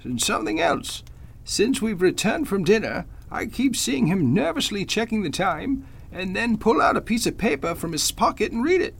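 An older judge from an old-fashioned radio play, acted by a man. His voice sits high and husky and swings widely in pitch. He speaks formally and earnestly, as a gentleman of long standing. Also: sing-song, moderate pace, heavily acted. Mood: suspicious, urgent. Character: genial but suspicious veteran male judge, gentlemanly. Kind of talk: old-time radio drama acting.

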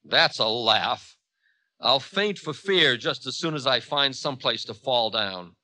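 A man's voice: mid-range voice, lively intonation, a little slow, sharp tone, no room sound. A man voicing a mocking, fearless demon king who makes scornful, grandiose declarations in a mythological story.

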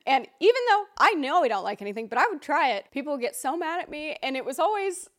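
A young woman co-hosting a podcast, telling a story in a quick, chatty way. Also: sits high, big pitch swings. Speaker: podcast co-host. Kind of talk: casual storytelling chat.

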